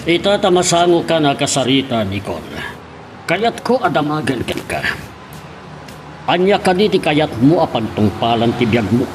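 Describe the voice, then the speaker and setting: A man's voice: fairly high pitch, very animated, moderate pace, over music. A man playing a stern, protective middle-aged father in an Ilocano radio drama, with a Filipino Ilocano accent.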